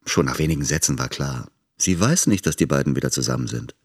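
An actor playing a calculating, self-important man of influence, delivering a measured dramatic monologue. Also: a bass voice, a little mellow, slightly rough. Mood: controlled, calculating.

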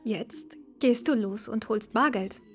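A woman's voice: a little high, measured pace, soft background music, natural voice. An actress playing a cool, terse, flirtatious woman in a radio drama, speaking in clipped phrases. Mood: cool, businesslike. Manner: terse, direct. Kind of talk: naturalistic radio drama dialogue.

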